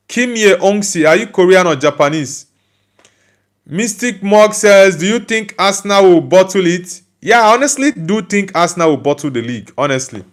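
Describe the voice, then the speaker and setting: Young Nigerian male streamer, slightly high voice, relaxed and halting, reading live chat. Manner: loose, hesitant talk with many fillers and restarts. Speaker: football channel host reading live chat.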